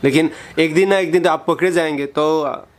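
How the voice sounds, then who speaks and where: Man, slightly high-pitched. Earnest moral lecturer on a phone call, halting and repetitive. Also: fairly even intonation, a little hiss or hum. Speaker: host confronting a scammer.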